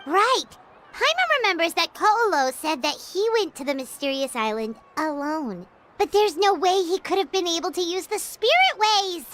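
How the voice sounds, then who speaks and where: A woman voicing a tiny, chirpy, childlike fairy companion in a video game, with a squeaky voice. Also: expressive, moderate pace, fairly bright tone, a little hiss or hum. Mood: eager, surprised. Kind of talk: scripted game dialogue. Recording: music behind the dialogue.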